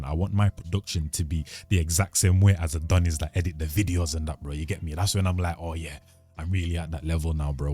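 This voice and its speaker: A man's voice: rumbling low, mellow. A young man, chatting casually to his channel in a slangy, laid-back, rambling way, recorded at a home desk mic. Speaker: channel host. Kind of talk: casual vlog chat to camera. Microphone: studio mic at a desk.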